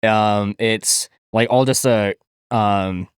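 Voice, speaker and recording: A man co-hosting a podcast in casual, sweary chat, halting and informal as he explains. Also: lowish voice, steady tone, clear, resonant tone, no room sound, studio quality. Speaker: podcast co-host.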